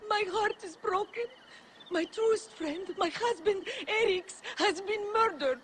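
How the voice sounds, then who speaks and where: A woman's voice: very high-pitched, measured pace, slight background noise. A woman playing a grieving, dignified ancient Egyptian widow in a video game, pleading in formal, emotional speech. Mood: sorrowful. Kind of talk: video game cutscene acting.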